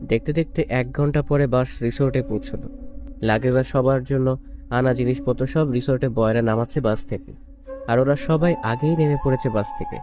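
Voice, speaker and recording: A man's voice: mid-range voice, fairly even intonation, brisk. A man reading a scripted romantic story as a narrator. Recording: music bed under the voice. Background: prominent instrumental music.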